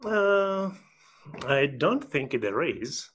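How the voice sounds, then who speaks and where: A young man from Uganda, a podcast guest speaking casually and hesitantly, pausing often. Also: a little high, big pitch swings, fairly bright tone, husky, dry recording. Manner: hesitant, many pauses and "uh"s. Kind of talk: casual conversation.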